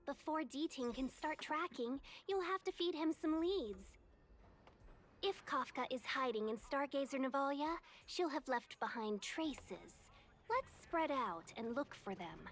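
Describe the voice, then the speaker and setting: High-voiced, genteel fox-woman guide. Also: animated, a little slow, fairly bright tone. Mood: composed, instructive. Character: polite, cautious fox-woman civil servant and diplomatic guide. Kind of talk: scripted game voice acting.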